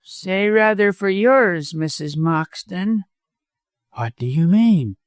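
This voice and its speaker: A sneaky, spiteful lawyer villain from a storybook, acted out by a male narrator. The voice sits high and snarls harshly, cowardly yet full of venom. The sound is close and dry. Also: animated, slow pace, no echo. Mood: sly, menacing. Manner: snarling, gasping, harsh, chuckling.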